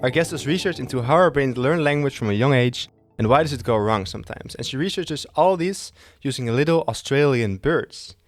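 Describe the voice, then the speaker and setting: Halting young male podcast host. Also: mid-range voice, expressive, soft background music. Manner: halting, repeats words, restarts questions. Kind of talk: interview hosting.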